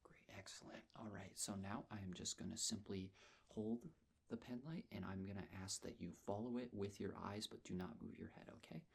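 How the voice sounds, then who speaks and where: A man softly whispering an ASMR medical roleplay as a nurse, with a breathy, gentle, reassuring delivery. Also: low voice, brisk. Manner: gentle, reassuring, personal attention.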